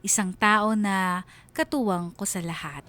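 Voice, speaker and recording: A woman's voice: mid-range voice, measured pace, a bit bright, slight background noise. A woman with a Filipino accent reading a scripted first-person story as a radio drama narrator. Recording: music bed under the voice. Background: background music.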